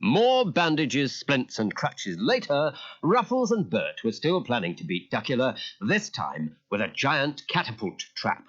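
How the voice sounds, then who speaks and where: A man's voice: medium pitch, big pitch swings, unhurried, few pauses, a bit bright. A man reading a scripted children's story as a dramatic narrator. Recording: dull lo-fi cassette transfer.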